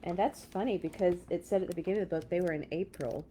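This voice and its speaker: A woman's voice: on the low side, fast, dark tone, a little hiss or hum. A woman reading a picture book aloud to children, with dramatic emphasis and chatty asides. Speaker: children's read-along storyteller.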